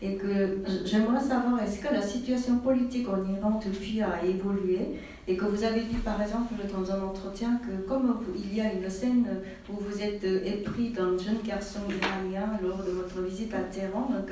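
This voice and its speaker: A woman interpreting at a library book event, talking briskly with fillers and restarts. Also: medium pitch, steady tone, warm tone. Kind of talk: interpreting questions and answers. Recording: very echoey hall from far off, faint noise.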